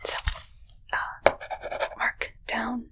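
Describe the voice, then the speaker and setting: A woman in an ASMR roleplay voicing a soft-spoken, awkward alien posing as a ship's doctor, mostly whispering with halting, politely formal delivery. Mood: hesitant, curious.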